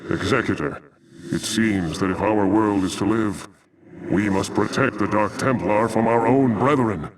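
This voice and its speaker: A man's voice: fairly low pitch, measured pace, distant mic, noisy background, lightly acted. A man voicing a noble, brave, sorrowful alien templar commander, earnest and formal with impassioned gravity, for a video game. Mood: grim resolve.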